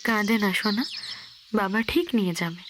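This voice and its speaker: A woman playing a gentle, soothing stepmother with a soft, coaxing manner in an audio story. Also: mid-range voice, moderate pace, noisy background, lightly acted. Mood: tender, soothing. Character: gentle, soothing young stepmother, quietly resolute. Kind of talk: Bengali audio story acting. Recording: music and birdsong behind.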